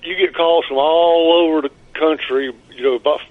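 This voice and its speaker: A man from Mississippi calls in to a sports radio show over a thin, tinny phone line. His voice is a bit high, and he stops and restarts often, with a polite "yes, sir.". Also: fairly even intonation, moderate pace. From Biloxi, Mississippi. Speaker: caller. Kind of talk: phone-in chat. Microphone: telephone.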